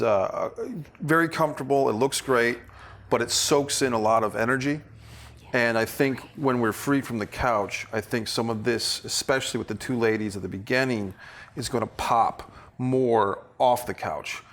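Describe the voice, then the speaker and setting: A man directing actors, giving feedback in deliberate, hesitant phrases in a studio. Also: mid-range voice, a bit bright. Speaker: film director. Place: indoor studio.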